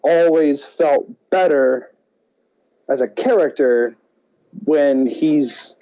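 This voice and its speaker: A man co-hosting a podcast in casual chat, excitable, opinionated and given to rambling. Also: on the high side, very slow, a little hiss or hum. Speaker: podcast co-host. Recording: dull, muffled lo-fi sound.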